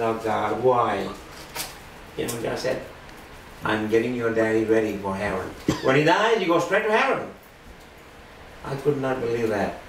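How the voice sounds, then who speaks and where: A man's voice: medium pitch, slight background noise. Older man preaching in a roomy hall. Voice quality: loud voice. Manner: earnest, preachy, swings between hushed and loud. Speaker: lay preacher giving testimony. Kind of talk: testimony sermon. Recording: roomy and distant with faint ambience.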